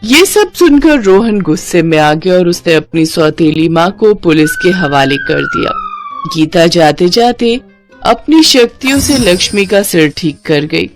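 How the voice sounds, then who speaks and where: A woman's voice: fairly low pitch, huge pitch swings, a little slow. A woman narrating a scripted cartoon story as the storyteller. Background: faint music.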